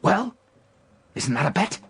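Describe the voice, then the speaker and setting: Reckless young gambler, wildly swooping voice. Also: male voice, sits high, big pitch swings, a little slow, a bit bright, breathy edge, full character voice. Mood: feverish, insistent. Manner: agitated, erratic, pressing, repeats himself. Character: reckless indebted young gambler from a wealthy family, insistent. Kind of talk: radio drama acting.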